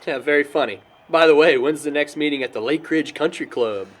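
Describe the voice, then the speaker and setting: A man's voice: fairly high pitch, brisk, close to an everyday voice. A man playing an easygoing young party host who jokes and gossips in an amateur audio drama. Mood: bantering.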